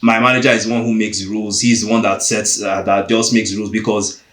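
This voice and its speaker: A man's voice: fairly low pitch, flat delivery, fast talker, fairly bright tone, roomy sound. A man from Nigeria, hosting a podcast and earnestly pressing his point in a heated debate. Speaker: podcast host.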